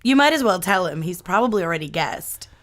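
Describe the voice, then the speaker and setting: A woman's voice: on the low side, lively intonation, a little fast, a bit bright. An actress playing an easygoing, kindly single woman in an audio drama. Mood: apologetic.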